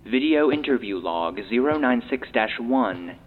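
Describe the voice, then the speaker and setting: An actor playing a formal, impersonal database announcer reading log headings in an audio drama. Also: male voice, medium pitch, expressive, measured pace. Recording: tinny phone-like band with hiss.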